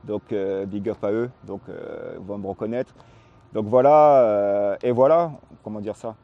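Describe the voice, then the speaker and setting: A man's voice: on the low side, unhurried, creaky, no room sound, dull audio. A man in his forties from Paris, born in Réunion, chatting casually in an interview with a hesitant, repetitive, slangy delivery. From Paris, France, born in Réunion. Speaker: interviewee, hip-hop graffiti artist.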